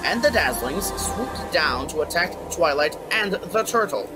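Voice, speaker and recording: High-pitched, slow-paced male audiobook narrator. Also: big pitch swings. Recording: music behind the voice. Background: background music.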